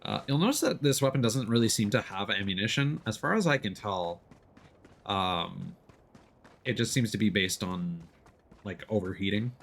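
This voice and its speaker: A man with a fairly low voice gives casual, explanatory commentary while playing a video game. He has an easygoing manner, and game noise runs behind his voice. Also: lively intonation, moderate pace, vocal fry, a bit bright. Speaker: game streamer. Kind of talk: casual gameplay commentary, explaining as they play. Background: video game sound.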